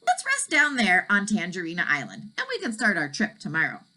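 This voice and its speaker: A woman's voice: on the low side, wildly swooping pitch, brisk, pushed and bright, heavily acted. A woman voicing a curious, eager young boy in a storybook.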